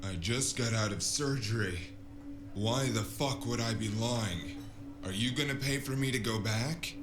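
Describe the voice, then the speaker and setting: A man's voice: medium pitch, a little slow, background noise, natural voice. A man playing a flippant, rambling, sardonic private investigator who narrates a cyberpunk noir audio drama. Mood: indignant. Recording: music behind the voice.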